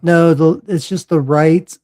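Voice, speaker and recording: A man in his forties hosting a podcast, talking casually with lots of halting restarts. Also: on the high side, steady tone, mellow. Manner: halting, many fillers and restarts. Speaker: podcast host. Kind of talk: casual chat.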